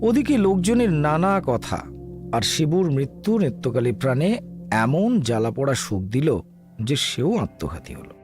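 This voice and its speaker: A man's voice: fairly high pitch, sing-song, unhurried, a little mellow, soft background music. A man narrating a scripted comic audio drama as a dramatic storyteller.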